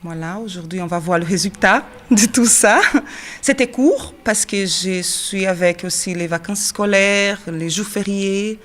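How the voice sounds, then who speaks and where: A woman in her thirties from Brazil, a drama teacher and actress, answering interview questions in French with a Brazilian Portuguese accent, often pausing with fillers and searching for words. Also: mid-range voice, fairly bright tone. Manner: searching non-native French, frequent fillers and quick laughs.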